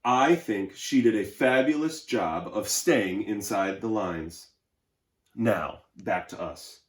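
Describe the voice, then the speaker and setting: A man's voice: lowish voice, slow pace, reverberant. A man voicing an indignant, sarcastic crayon who feels unused, scolding and demanding in a children's storybook read-aloud. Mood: pointed, coaxing. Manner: sassy, scolding, pointed.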